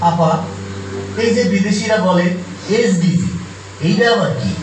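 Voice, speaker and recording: A man's voice: sits high, a little slow, slight background noise. A man playing a naive, folksy villager in a puppet show, full of incredulous questions. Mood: puzzled, worried. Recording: echoey, distant, faint music behind.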